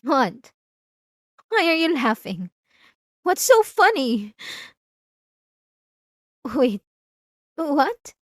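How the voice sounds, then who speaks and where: A woman's voice: high-pitched, big pitch swings, a bit bright, no room sound, clean studio sound, full character voice. A woman playing a possessive, obsessive wife in an intimate, theatrical ASMR roleplay monologue. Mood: confused, startled. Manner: intimate, theatrical, drawn-out emphatic phrases. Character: possessive, obsessive yandere wife confronting her spouse.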